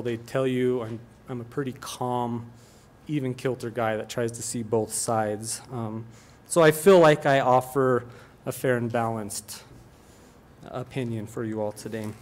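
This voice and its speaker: Man, middle-aged, from Colorado, public comment, earnest, plainspoken, restarts sentences. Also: medium pitch.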